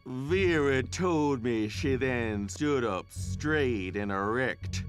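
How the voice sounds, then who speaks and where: A man narrating a ghost story radio drama in a measured, gossipy and bitter way. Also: mid-range voice, expressive, faint music behind.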